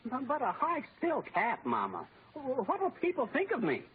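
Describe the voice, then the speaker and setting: An actor playing a flustered, whining, meek henpecked husband in an old-time radio comedy, pleading and stammering in exasperation. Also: male voice, extremely high pitch, huge pitch swings, moderate pace, husky, heavily acted. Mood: protesting, pleading. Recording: tinny, faintly noisy old radio broadcast.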